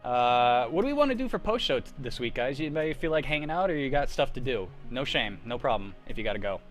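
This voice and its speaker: A man's voice: medium pitch, sing-song, fast, faint music behind. A young man chatting casually as host of a live script-reading stream. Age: young adult. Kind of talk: casual chat.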